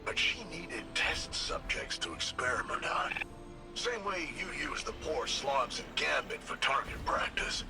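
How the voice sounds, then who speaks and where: An actor playing a wisecracking, irreverent machine companion in a video game, talking in a casual, sardonic way. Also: male voice, medium pitch, sing-song, unhurried, creaky, over loud music. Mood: snarky, sardonic. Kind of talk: video game character dialogue.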